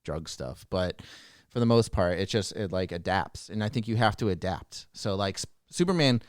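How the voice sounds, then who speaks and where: A man's voice: sits low, animated, normal speed, clear, resonant tone, dry recording, clean studio sound. A man chatting casually on a talk show panel, giving opinions in informal language with the odd mild swear. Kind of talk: casual chat about movies.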